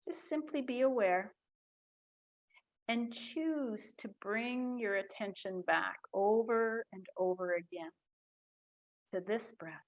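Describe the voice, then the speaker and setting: A woman guiding a breathing meditation in a soft, calm voice with long pauses. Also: on the high side, low-quality recording. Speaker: meditation guide. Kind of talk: guided meditation.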